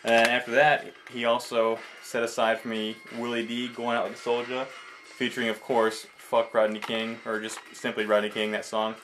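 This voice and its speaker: A man's voice: mid-range voice, completely flat delivery, normal speed, a bit bright, a little hiss or hum. A young man chatting casually and enthusiastically as a video host, slangy and prone to swearing, in an amateur recording. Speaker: video host showing a collection. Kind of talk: casual enthusiastic vlog chat.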